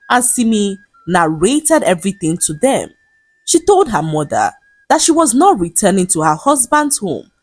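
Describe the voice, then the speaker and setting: Low, lively woman telling a folktale. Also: breathy edge, no room sound. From Nigeria. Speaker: storyteller. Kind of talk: scripted folktale narration. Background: background music.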